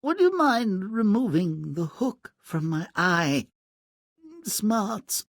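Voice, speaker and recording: Casting: long-suffering magic fish, raspy and drawling, sardonic, for a fairy-tale game. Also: male voice, sits high, very animated, slow, no echo, studio quality, heavily acted. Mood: sarcastic, exasperated. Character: magical talking fish, wounded, sarcastic, long-suffering male.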